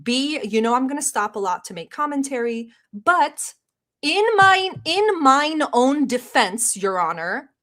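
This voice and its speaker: A woman's voice: high voice, sing-song, a little slow, fairly bright tone. A woman born in Turkey, Turkish her first language, chatting casually on a live stream, laughing often and reading chat aloud. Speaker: reaction streamer.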